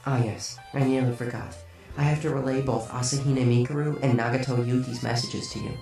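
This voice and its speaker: A man playing a calm, polite glowing spirit. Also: mid-range voice, fairly quick, lightly acted. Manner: smooth, polite, lightly teasing. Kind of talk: fan audiobook acting. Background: music.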